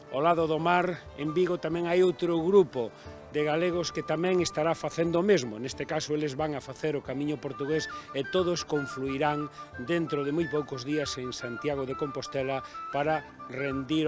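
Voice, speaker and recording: A man's voice: on the high side, moderate pace, music in the background. A man giving a proud, declamatory statement outdoors by an old bridge. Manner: proud, declamatory, speaks of his land. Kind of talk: public speech to camera.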